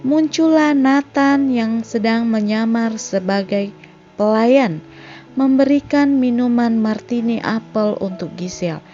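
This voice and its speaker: A woman's voice: fairly high pitch, slow pace, mellow, dull audio. A woman reading a scripted narration that recaps a film's story. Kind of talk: scripted narration of a film plot. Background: background music.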